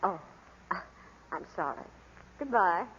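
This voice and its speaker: A woman's voice: on the low side, big pitch swings, measured pace, a bit bright, a little hiss or hum. An actress playing a genteel, fretful, polite society wife and mother in 1940s radio drama. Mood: polite, hurried. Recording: reverberant, muffled vintage radio recording.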